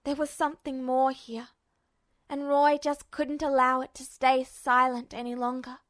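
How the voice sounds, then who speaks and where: A woman reads a fan story aloud in an emotive, measured way. Her voice sits high. The recording is dry and close. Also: flat delivery, a bit bright, dry recording. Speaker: podfic reader. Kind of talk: audiobook narration of fan fiction.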